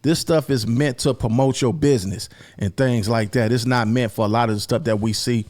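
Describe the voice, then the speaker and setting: A man's voice: mid-range voice, fairly quick, darkish tone. A man co-hosting with casual, blunt commentary, swearing and repeating his points for emphasis.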